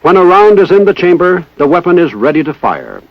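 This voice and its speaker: Dull vintage film recording, animated American male narrator. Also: high voice, a little fast, no echo. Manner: formal, precise, methodical enunciation. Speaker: military training film narrator. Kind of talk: scripted instructional narration, 1960s training film. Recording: dull lo-fi 1960s film soundtrack.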